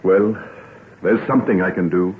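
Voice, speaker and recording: An actor playing a grave, remorseful gentleman doctor, formal and earnest, in an old-time radio drama. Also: deep voice, normal speed, close to an everyday voice. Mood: resolute, reassuring. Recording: tinny, noisy old radio recording.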